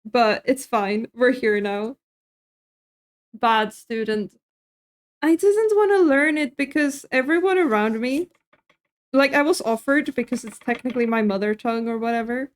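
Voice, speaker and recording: A woman's voice: on the high side, unhurried. A young woman from Sweden, chatting casually with her stream chat while cooking in her kitchen, laughing often and answering messages.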